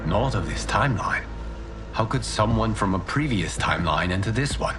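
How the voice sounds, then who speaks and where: A man's voice: fairly low pitch, lively intonation, normal speed, over music, natural voice. A man playing a commanding, stern guardian in a video game cutscene, speaking in a formal, probing, authoritative way. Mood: stern, questioning.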